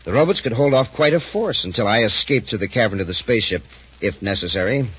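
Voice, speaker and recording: An actor playing a smug, commanding criminal mastermind, suave and grandiose, in 1950s radio serial style. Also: male voice, lowish voice, expressive, quick pace, a bit bright, breathy edge. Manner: suave, self-assured, grandiose. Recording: muffled, faintly noisy 1950s radio recording.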